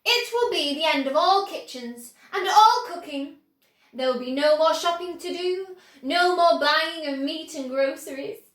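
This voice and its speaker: Brief: woman doing a grand, boastful male candy inventor, high and bright, storybook, roomy echo. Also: heavily acted. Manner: boastful, exclamatory.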